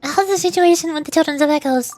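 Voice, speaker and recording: Woman doing a very high, eager little fairy. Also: fast, a crowd in the background, close to an everyday voice. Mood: excited.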